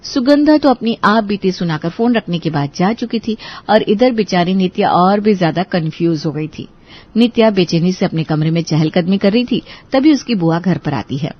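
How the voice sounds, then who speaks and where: A woman's voice: on the low side, lively intonation, normal speed. Woman storyteller, slightly muffled recording. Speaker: narrator. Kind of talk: scripted bedtime-story narration.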